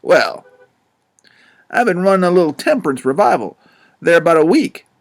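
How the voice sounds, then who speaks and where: A man puts on a sly old con man from the backwoods, a bald preacher with a folksy, blustering drawl. The voice sits low and hushed next to the reader's own, with a lively swing. It is an audiobook character voice. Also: moderate pace. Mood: boastful. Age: older.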